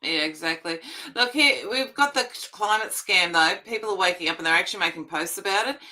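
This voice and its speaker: Brief: woman host, very bright forceful tone, chatty emphatic commentary. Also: fairly low pitch, lively intonation. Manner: chatty, emphatic, quick asides. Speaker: live stream news host. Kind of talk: casual news commentary.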